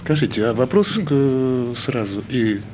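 A middle-aged man working as a television interviewer, putting questions in a polite, deliberate way on a handheld microphone. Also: medium pitch, a little mellow. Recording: noisy 1990s archive video sound.

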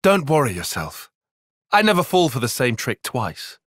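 A man's voice: medium pitch, wildly swooping pitch, normal speed, fairly bright tone, dry recording, studio quality. An actor voicing an earnest, calculating and proud young male tactician in a video game, speaking formally and precisely with intensity. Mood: calm analytical. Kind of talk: video game battle voice lines.

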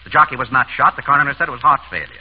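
A man's voice: medium pitch, racing, breathy edge, close to an everyday voice. Suave, wry doctor played by a man, old radio. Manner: urbane, wry, deadpan. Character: suave, dryly witty male doctor, exasperated ex-husband. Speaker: radio actor. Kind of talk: 1930s radio comedy-mystery acting. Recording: dull, noisy 1930s radio recording.

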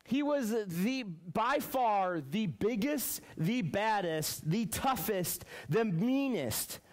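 Youth pastor, man, 30s, loud, lively storyteller, slightly high pitch, headset mic in a mid-sized room. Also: slow. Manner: loud, energetic storytelling, lots of fillers. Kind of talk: youth group talk. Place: church youth room, medium indoor.

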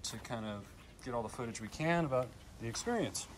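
A man's voice: mid-range voice, fairly quick, poor audio. A middle-aged American man casually explaining his fishing gear while out on a lake, recorded on an action camera. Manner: relaxed, chatty, explains gear step by step. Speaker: outdoor vlogger. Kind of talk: casual how-to narration. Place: outdoors on a lake, on a paddle board. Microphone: action camera mounted on the board. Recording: faint wind and water noise.

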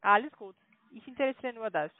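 A woman's voice: a little high, animated, fast talker, no room sound. A woman call centre agent who is not a native German speaker, mumbling insistent sales questions. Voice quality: mumbled, indistinct diction. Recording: dry narrow phone line.

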